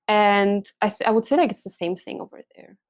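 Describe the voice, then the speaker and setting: A woman's voice: medium pitch, brisk. A young woman from Spain, a pregnancy coach, chatting in an interview, hesitant with many fillers and restarts. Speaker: hormonal and pregnancy coach, podcast guest. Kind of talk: casual interview chat.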